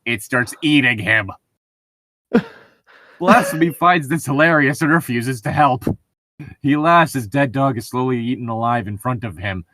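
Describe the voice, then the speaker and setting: A man's voice: mid-range voice, a little slow, bright, forceful tone, dry recording. A man casually reading out and joking about tabletop gaming stories, amused and given to swearing. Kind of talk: casual group banter and story reading.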